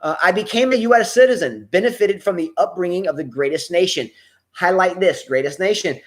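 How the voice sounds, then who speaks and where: A man's voice: sits high, brisk. A man co-hosting a casual live talk show, reading viewer comments aloud and picking apart their words. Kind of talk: casual live-stream chat.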